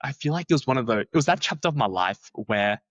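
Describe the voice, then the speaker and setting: A young man who is a researcher, talking in a chatty, animated way and filling his sentences with "like.". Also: fast, dry recording. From Australia. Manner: chatty, many "like" fillers, lively swings in pitch. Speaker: researcher, podcast guest. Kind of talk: casual conversational interview.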